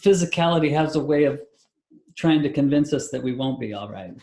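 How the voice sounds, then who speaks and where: A man leading a live webinar as a spiritual healer, talking calmly and reassuringly in spiritual terms over a video call. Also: fairly high pitch, brisk, roomy sound. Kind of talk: live webinar healing session.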